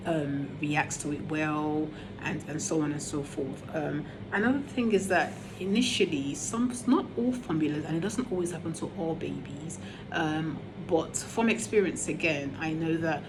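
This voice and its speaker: A woman's voice: deep voice, normal speed. A woman, a Yoruba speaker, hosting a parenting vlog. She gives casual advice in a chatty way, with frequent ums and restarts. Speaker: parenting channel host, mother of two. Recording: noisy ambience in background.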